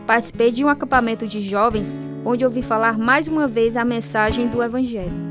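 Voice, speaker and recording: An actress plays a woman looking back on her youth in a dramatized radio story. Her voice is fairly high, with music clearly behind it. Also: fairly quick, close to an everyday voice. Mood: reflective. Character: woman recounting her troubled youth, also as earnest teenager. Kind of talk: scripted dramatized narration.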